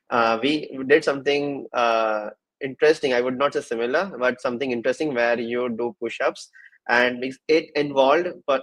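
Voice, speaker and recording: A man hosting a casual livestream interview, speaking in halting phrases with repeated fillers. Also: mid-range voice, a bit bright, poor audio. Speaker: host of a developer livestream session.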